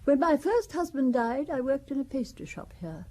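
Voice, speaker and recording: A woman's voice: high-pitched, very animated, normal speed, warm tone. An actress playing a wealthy older widow who talks about her family in a wry, confiding, gossipy way, in a radio drama. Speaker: Valentine Besson.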